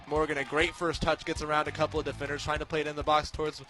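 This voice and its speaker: A young American man giving live radio sports commentary, moving between measured description and excited, shouted calls. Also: on the high side, faint music behind. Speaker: play-by-play sports announcer.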